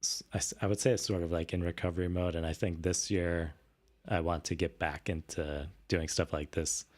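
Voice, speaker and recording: A man hosting a casual livestream, thinking aloud hesitantly, with a slightly raspy voice worn from a recent illness. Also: low-pitched, level tone, moderate pace, a little mellow. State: voice tired after recent illness. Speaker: streamer host.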